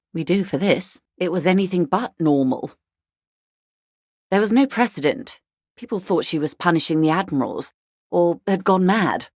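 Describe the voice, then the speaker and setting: A weary corporate negotiator lady for a game, low voice, dry irony, grave and super articulate, close dry recording. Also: dry recording. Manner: articulate, formal, dryly ironic, grave. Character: weary, sardonic, composed corporate negotiator woman. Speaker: video game character. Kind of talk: dramatic game dialogue, measured and literate.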